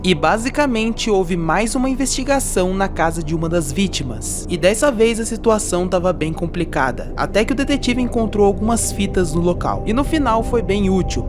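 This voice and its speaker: A woman with a Brazilian accent narrating scripted horror commentary conversationally, with playful asides to the listener. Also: mid-range voice. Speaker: YouTube narrator. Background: music.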